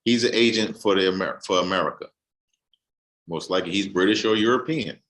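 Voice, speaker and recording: A man giving a casual explanatory talk, reading a text aloud with halting restarts. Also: sits low, fairly bright tone. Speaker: host.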